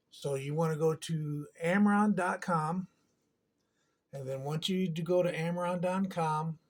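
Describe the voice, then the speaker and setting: A man giving an informal step-by-step tutorial in a halting, unscripted way, thinking aloud as he goes. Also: on the high side. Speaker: tutorial presenter. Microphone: camera on a tripod.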